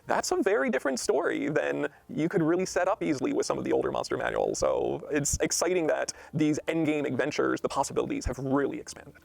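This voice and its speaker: A man talking as a game designer in an explanatory discussion of a game book, animated and acting out readers' reactions. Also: a little high, quick pace, gravelly, hardly any pauses. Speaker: game designer being interviewed.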